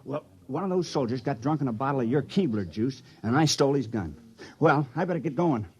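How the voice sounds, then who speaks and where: A man's voice: medium pitch, expressive, a little fast, slightly rough, highs cut off. An actor playing a timid village man who hesitates and stammers in a 1950s radio drama. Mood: pleading. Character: timid young village man made town criminal. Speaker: town criminal.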